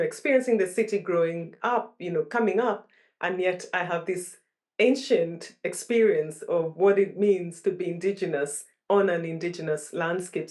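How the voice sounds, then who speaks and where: A woman's voice: fairly low pitch, darkish tone. Thoughtful woman, reflective guest. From Kenya. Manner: thoughtful, searching for words with restarts. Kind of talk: reflective interview talk.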